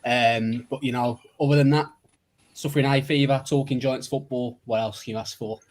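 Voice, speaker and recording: A man's voice: mid-range voice, fairly even intonation, normal speed, darkish tone. An Irish man co-hosting a podcast, laid-back and sleepy from hay fever. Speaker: podcast co-host. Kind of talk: casual podcast chat.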